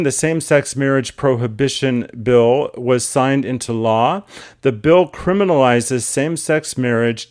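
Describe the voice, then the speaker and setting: A man's voice: mid-range voice, a little mellow. A man hosting an interview show over a video call, asking questions and reading a statement aloud with hesitant fillers. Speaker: talk show host.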